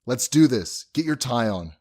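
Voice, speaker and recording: An actor playing an anxious, earnest young groom in an audio drama, sounding a little exasperated. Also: male voice, mid-range voice, lively intonation, normal speed, darkish tone, lightly acted. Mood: nervous, wry. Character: anxious, earnest young groom who runs a funeral home.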